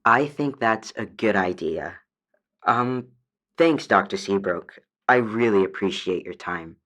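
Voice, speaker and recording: A woman's voice: rumbling low, animated, measured pace, a bit bright, full character voice. A woman voicing a meek, hesitant dryad calling a radio advice show in an audio drama, unsure and trailing off. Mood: subdued, unsure. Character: hesitant, meek female dryad, homesick for her forest.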